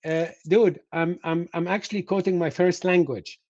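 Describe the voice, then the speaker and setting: A man's voice: high voice, fairly even intonation, darkish tone, no room sound, highs cut off. A middle-aged Egyptian man whose first language is Arabic, hosting a live stream and telling stories with many restarts and fillers. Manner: halting, full of restarts and fillers, storytelling. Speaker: host.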